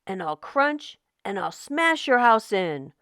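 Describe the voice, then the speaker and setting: A big bad shark from a picture book , acted out by a woman, hungry and menacing. The threats come slowly and loudly, with a swooping sing-song pitch. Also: sing-song, no echo, studio quality.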